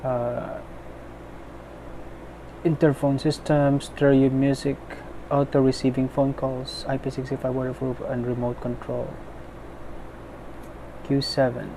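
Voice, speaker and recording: Male unboxing narrator, mid-pitched, unhurried, casual and tentative; noisy recording. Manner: tentative, thinking aloud. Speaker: unboxing video maker. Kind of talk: casual unscripted product unboxing commentary. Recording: clearly noisy background.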